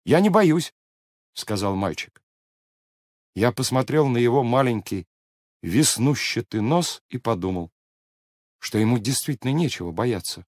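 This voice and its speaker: A man playing a storyteller who calmly narrates a scripted children's tale. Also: mid-range voice, a little slow, pauses often, dry recording, clean studio sound. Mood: warm recollection.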